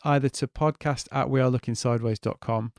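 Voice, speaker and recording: A man's voice: medium pitch, fairly even intonation, a little fast, no room sound, clean studio sound. A man in his forties, hosting a podcast in a chatty, candid monologue and swearing freely. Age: 40s. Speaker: podcast host. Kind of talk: casual solo podcast monologue.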